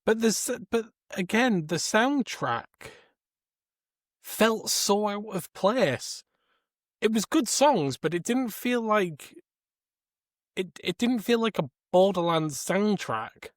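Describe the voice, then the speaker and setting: A man co-hosting a podcast in casual film chat, halting and repeating phrases as he argues. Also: sits high, lively intonation, no echo, clean studio sound. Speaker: podcast co-host.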